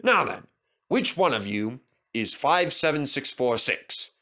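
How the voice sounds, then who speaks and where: A man's voice: medium pitch, big pitch swings, bright, forceful tone. A man voicing a stern, authoritative railway controller in a children's story, speaking in a commanding way. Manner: commanding, deliberate.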